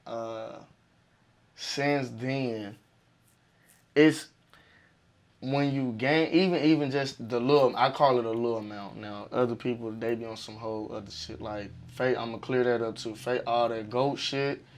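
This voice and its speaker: Raspy young guy from arkansas, rapper type, telling stories all hyped, cussing a lot. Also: mid-range voice, unhurried. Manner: animated, profane storytelling with slang. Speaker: music artist guest. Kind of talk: casual interview chat.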